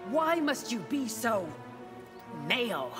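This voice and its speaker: An actress voicing a severe, unimpressed warrior priestess in a video game, formal and dry. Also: female voice, a little high, sing-song, takes it slowly, music in the background. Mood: stern disdain. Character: stern, devout warrior priestess, unimpressed by flirtation. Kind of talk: video game fight-intro banter.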